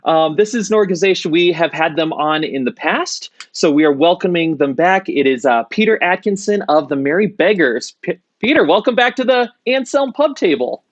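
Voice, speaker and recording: Chatty, higher-voiced male podcast host. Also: lively intonation, normal speed, few pauses, no room sound. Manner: joking, rambling with self-corrections. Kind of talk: casual interview chat.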